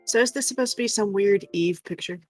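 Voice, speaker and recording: A woman's voice: mid-range voice, fairly quick, a little mellow. A woman talks casually as a guest on a stream. Her voice sits in a middle range and she is playful and teasing. Faint music runs behind her. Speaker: livestream guest. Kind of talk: casual chat. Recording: music playing behind the talk. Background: background music.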